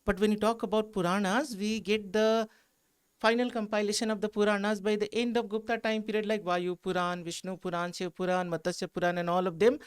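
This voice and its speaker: Clear-voiced Indian teacher, a man. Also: sits high, level tone, a little fast. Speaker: history teacher at exam coaching institute. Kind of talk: explanatory exam analysis.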